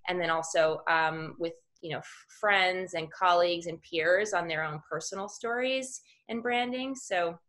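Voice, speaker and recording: A woman in her thirties with a slightly low voice chats casually on a panel. She speaks from a room at home over a video call, as a coach would. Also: measured pace, hardly any pauses, fairly bright tone.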